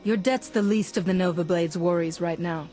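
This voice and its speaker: A woman playing a calm, resolute Jedi hero in a video game, measured and earnest. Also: lowish voice, soft background music, natural voice.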